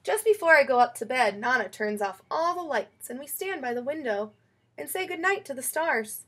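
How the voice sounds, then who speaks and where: A woman reading a children's picture book aloud in an expressive, storytelling way. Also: sits high, moderate pace, slightly rough. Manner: expressive, acts out quoted lines.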